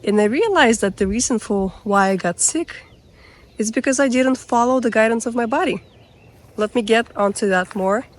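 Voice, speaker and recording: A woman vlogging on a hiking trail outdoors, her voice hoarse as it recovers, musing reflectively with loose fillers. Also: a little high, normal speed. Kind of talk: casual walk-and-talk vlog.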